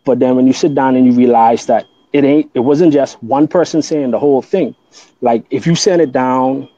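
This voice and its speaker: A man from the Bahamas talks through his beliefs in a relaxed podcast chat, earnest and thorough, circling back and restarting as he thinks. His voice is dark and mellow. The recording is a little dull and lo-fi. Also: medium pitch, no room sound. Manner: earnest, explains at length with restarts. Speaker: guest. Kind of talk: casual podcast conversation.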